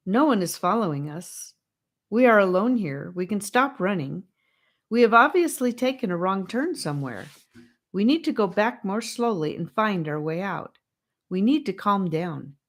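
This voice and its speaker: A woman voicing a calm, sensible boy in an audiobook, who reasons in a measured, reassuring way as leader of younger children. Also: mid-range voice, voice pushed up. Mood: urgent but calm.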